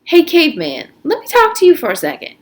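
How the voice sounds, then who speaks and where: A woman's voice: sits high, huge pitch swings, brisk, voice pushed up. A woman reading the part of a coaxing, self-serving teenage boy who leads a gang, with informal, persuasive talk. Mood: coaxing, confiding.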